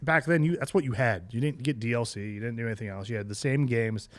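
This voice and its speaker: A man's voice: mid-range voice, fast talker, no echo. A man co-hosting a podcast in casual, emphatic chat, with some boasting and swearing. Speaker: podcast co-host. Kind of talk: casual panel chat about retro games.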